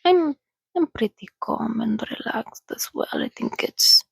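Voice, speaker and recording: Woman, soft-spoken ASMR host, soothing and often whispered, unhurried delivery with a creaky, close dry sound. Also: fairly high pitch, expressive, no room sound. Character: calm, sociable female vampire soothing a companion.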